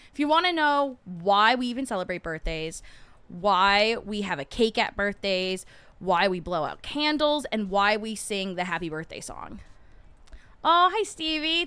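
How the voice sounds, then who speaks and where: A woman's voice: medium pitch, fairly bright tone, clear, resonant tone, dry recording. A woman hosting a livestream, chatting casually and informally with her viewers. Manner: informal, conversational. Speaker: livestream host.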